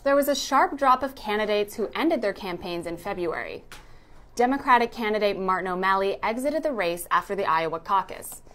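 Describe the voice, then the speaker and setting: A woman's voice: medium pitch, lively intonation, fairly quick, fairly bright tone. A woman reading a scripted narration in the style of a news recap.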